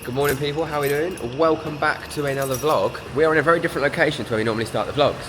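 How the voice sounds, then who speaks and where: A man's voice: on the high side, rapid-fire, background noise. A man, chatting casually in a vlog, a bit congested from a sore throat, upbeat and rambling. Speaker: vlogger.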